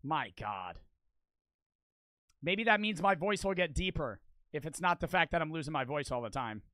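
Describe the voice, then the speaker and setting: Loud, bright young male streamer. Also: fairly high pitch, moderate pace, no echo. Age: 20s. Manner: loud, excitable, swears and shouts at the game. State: voice cracking, losing his voice. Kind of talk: livestream gaming commentary. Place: small bedroom streaming setup. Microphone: headset mic on boom arm.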